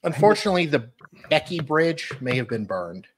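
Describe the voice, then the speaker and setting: A woman's voice: deep voice, big pitch swings, moderate pace, low-quality recording. A woman chatting casually as a panelist on a livestream. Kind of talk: casual chat.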